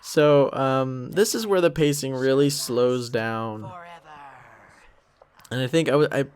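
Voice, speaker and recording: A man's voice: mid-range voice, slow pace, clean tone. A young man giving a casual commentary track in a rambling, self-critical way, often trailing off mid-thought. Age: young adult. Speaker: creator of an animated parody series.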